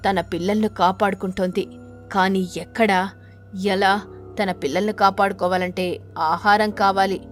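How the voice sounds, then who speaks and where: A woman's voice: mid-range voice, fairly even intonation, moderate pace, soft background music. A woman storyteller reading a scripted children's story narration.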